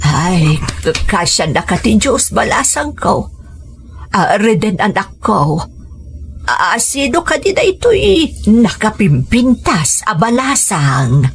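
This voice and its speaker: A woman's voice: mid-range voice, big pitch swings, slow, breathy edge, heavily acted. A woman playing an affectionate, humble elderly mother in a radio drama, with an Ilocano accent and a tender, easily flustered manner. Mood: joyful surprise.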